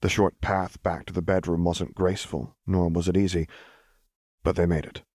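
A man's voice: deep voice, little pitch movement, normal speed, darkish tone, no echo, studio quality. A man reading a dramatic story aloud as scripted audiobook narration. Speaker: audiobook reader.

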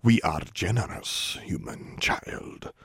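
A man voicing a cold, solemn old elf leader in a storybook, with a low, dry rasp and slow, formal delivery. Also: lively intonation, a little mellow, no room sound, heavily acted. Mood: low, menacing. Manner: slow, weighty, formal pronouncements.

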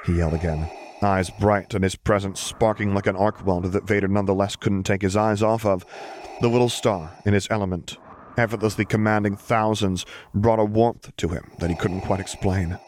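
Man, low voice, level intonation, scripted story narration, audiobook reader, noisy recording with clicks. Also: steady tone, moderate pace, a little mellow.

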